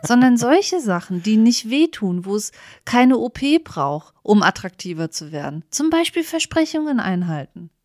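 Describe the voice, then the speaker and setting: A woman's voice: fairly high pitch, sing-song, moderate pace, a little mellow, no echo, clean studio sound. A woman co-hosting a podcast, chatting casually and reflectively in an emphatic, candid and self-deprecating way. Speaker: podcast co-host.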